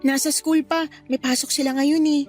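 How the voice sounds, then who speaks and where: A woman playing a trusting, loyal middle-aged wife in a radio drama, earnest and quick to defend. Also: high voice, steady tone, a little mellow, faint music behind, close to an everyday voice. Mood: affectionate. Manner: pleading, earnest. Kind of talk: Tagalog radio drama acting.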